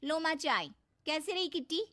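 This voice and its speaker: A woman's voice: high-pitched, animated, normal speed, sharp tone, no echo, voice pushed up. A woman voicing a helpful, matter-of-fact young daughter in a cartoon family drama.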